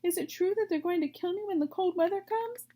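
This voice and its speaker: A woman's voice: very high voice, fairly quick, warm tone, voice pushed up. A woman voicing a panicked, childish young male pig in a storybook, wailing and pleading.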